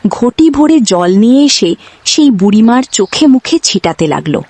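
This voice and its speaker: A woman's voice: fairly high pitch, sing-song, normal speed, mellow, slightly rough, no echo. A woman storyteller giving scripted narration of a fairy tale.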